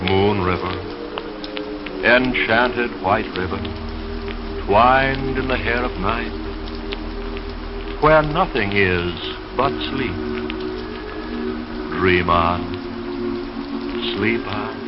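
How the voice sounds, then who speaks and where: A man reading poetry and sponsor messages as a late-night 1930s radio announcer, in a dreamy, solemn, drawn-out delivery. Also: lowish voice, animated. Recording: muffled vintage radio sound over music. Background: dreamy music.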